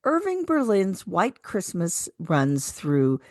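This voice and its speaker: A woman's voice: fairly low pitch, huge pitch swings, slow pace, no echo, studio quality. An older woman, a retired actress, talking casually as a radio co-host.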